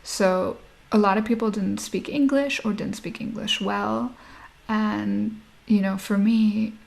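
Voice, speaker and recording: A woman in her thirties who grew up in Nigeria chats through an interview, often laughing as she speaks. Her voice sits at an ordinary middle pitch with a gravelly, creaky texture. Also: steady tone, takes it slowly, darkish tone. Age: 30s. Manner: chatty, laughs often while talking. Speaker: guest. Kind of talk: casual interview conversation.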